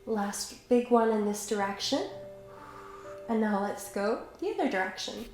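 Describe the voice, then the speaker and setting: Young woman leading pilates with a bit of a cold, calm and encouraging, super echoey room. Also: medium pitch, unhurried, faint music behind. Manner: calm, encouraging, talks while exercising. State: has a cold, slightly stuffy. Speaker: pilates instructor. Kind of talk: guided workout instruction. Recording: very echoey room, faint background noise.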